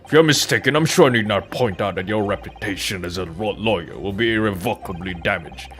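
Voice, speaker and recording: A man's voice: lowish voice, fairly quick, a bit bright, lightly acted. A man voicing a pompous, stern judge in a courtroom video game, speaking in formal, grandiose phrases. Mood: stern warning.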